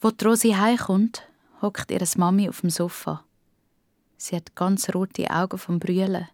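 A woman's voice: medium pitch, fairly even intonation, a little slow, a little mellow, no room sound, studio quality. A woman with a Swiss German accent narrating a scripted story for children.

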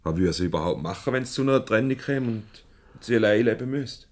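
An actor playing a shrewd, teasing boss and go-between in a 1970s Swiss German radio comedy, probing, wry and avuncular. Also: male voice, lowish voice, animated, fast talker, darkish tone, lightly acted. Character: shrewd, teasing boss mediating a couple's quarrel.

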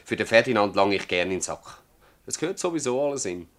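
An older village farmer in a radio play, with a fairly low voice and a Swiss German accent. He is stubborn and troubled, blunt in his words and plaintively insistent. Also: lively intonation, fast talker, a bit bright. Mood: urgent, bargaining. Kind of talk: radio drama acting in dialect.

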